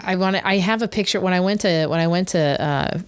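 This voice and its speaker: A woman with a slight northern US accent, a 911 communications manager chatting as a podcast guest, rambling through stories with quick asides and frequent laughs. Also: fairly low pitch, clean tone. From northern United States. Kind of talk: casual podcast chat.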